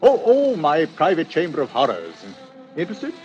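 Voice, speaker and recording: Genial macabre inspector, chatty and hesitant, very swooping pitch, old radio suspense, dull lo-fi recording. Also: male voice, huge pitch swings, normal speed, a little mellow, soft background music. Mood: genial, boastful. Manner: chatty, hesitant, relishing gruesome detail. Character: proud, genial British police chief inspector with macabre hobby. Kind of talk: 1940s radio suspense acting.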